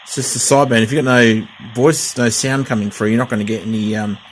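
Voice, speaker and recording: A man's voice: medium pitch, fairly even intonation, fast, slight background noise, low-quality recording. A middle-aged man chatting casually through a radio unboxing and repair, rambling with fillers and restarts. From Australia. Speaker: hobbyist vlogger.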